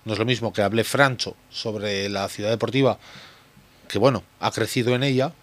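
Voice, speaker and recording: A man's voice: fairly low pitch, steady tone, normal speed. Football pundit guy, blunt and emphatic, asks himself questions then answers. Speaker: sports show pundit. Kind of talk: opinionated debate.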